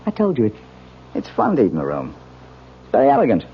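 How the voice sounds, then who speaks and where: An actor playing an affectionate, smooth-talking young American husband in a radio drama, coaxing in a casual way. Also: male voice, mid-range voice, wildly swooping pitch, fast talker. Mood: placating. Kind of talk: 1970s radio drama acting. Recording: dull radio recording with faint noise.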